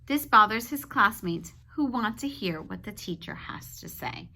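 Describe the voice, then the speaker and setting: A woman teacher reading a children's book aloud, pausing to explain simply and check in with her young listeners. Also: fairly high pitch, lively intonation, pushed and bright. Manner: instructive, addressing young children with check-in questions.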